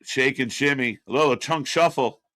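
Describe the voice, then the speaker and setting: A man's voice: medium pitch, normal speed, pushed and bright, no echo. A man co-hosting a live wrestling watch-along stream, wisecracking, laughing often and swearing. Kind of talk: casual live-stream commentary.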